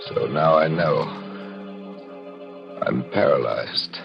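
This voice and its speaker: Casting: brooding paralyzed man haunted by guilt, rock-bottom pitch, muffled 1940s radio sound. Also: gravelly, heavily acted. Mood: stunned dread. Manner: slow, grave, brooding inner monologue. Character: paralyzed, guilt-ridden doomed man narrating his own fate. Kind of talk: 1940s radio thriller acting. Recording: muffled vintage radio with music behind.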